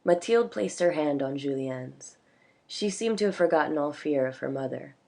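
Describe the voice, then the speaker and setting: A woman's voice: low-pitched, lively intonation, normal speed, creaky, a little mellow. A woman reading literary fiction aloud as scripted audiobook narration. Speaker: audiobook reader.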